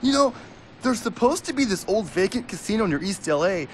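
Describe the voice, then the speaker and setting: Slacker skater kid, very high voice, lively pitch, goofy, eager, video game. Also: male voice, a little fast, a little hiss or hum. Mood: excited. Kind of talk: video game cutscene acting.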